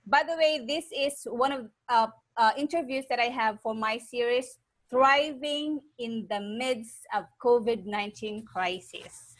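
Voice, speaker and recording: A woman's voice: fairly high pitch, measured pace. A Filipino woman hosting a conversational interview over a video call. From Philippines. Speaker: interviewer, host.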